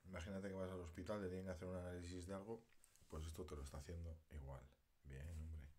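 Deep-voiced man rambling to followers. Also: darkish tone, a little hiss or hum. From Spain. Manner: rambling, thinking aloud while working a device, at times muttering half-whispered. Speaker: alternative healer. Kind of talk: casual live video talk to followers.

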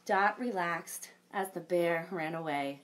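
A woman in her thirties reading a picture book aloud to children, expressive, with chatty asides to young listeners. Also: on the low side, takes it slowly. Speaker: library story time presenter.

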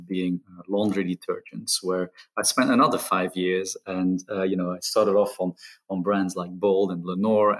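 A deep-voiced man speaks English with a Belgian Dutch accent, his first language being Dutch. He talks as an interview guest, relaxed and easygoing. His humor is self-deprecating. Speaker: guest, marketing executive. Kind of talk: interview conversation.

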